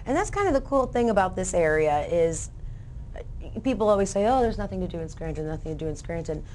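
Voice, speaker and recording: A woman's voice: lowish voice, fast. A woman hosting a talk show, interviewing guests in a conversational style. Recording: clear steady background room noise.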